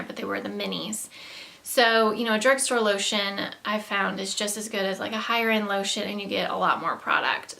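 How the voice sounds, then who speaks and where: Bright, creaky-voiced Southern US woman vlogging. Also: medium pitch. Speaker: beauty vlogger. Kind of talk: casual chat to camera, product haul review.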